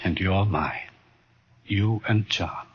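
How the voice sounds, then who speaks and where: An actor playing an unhinged, grieving believer in spirits, intense and insistent, in old-time radio suspense acting. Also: male voice, low voice, takes it slowly, breathy edge, highs cut off, heavily acted. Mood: tender, eerie. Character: obsessed, grieving, unhinged wealthy husband-to-be, devoted believer in spirits. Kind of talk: 1940s-50s radio suspense drama acting. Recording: muddy old radio recording.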